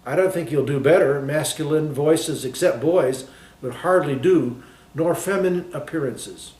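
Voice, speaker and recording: A man voicing a courteous, gently enigmatic male playwright in a storybook, polite, measured and wry. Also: on the high side, reverberant, close to an everyday voice, low-quality recording. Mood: reflective, apologetic.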